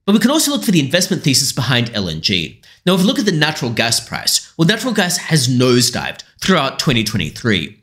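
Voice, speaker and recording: A man's voice: fairly high pitch, a bit bright. A young man hosting a finance channel, speaking analytically to camera into a studio mic in a small room. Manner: measured, analytical, gestures with his hands while talking. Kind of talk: explanatory finance commentary to camera. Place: small room with painted artwork behind. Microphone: large studio mic in front of the camera.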